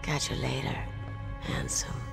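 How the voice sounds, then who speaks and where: A woman's voice: a little slow, natural voice. A cold, aloof female assassin in a video game, voiced by an actress, sultry and detached. Her voice carries long reverb with music behind it. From Kurdistan. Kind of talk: dramatic video game voice acting.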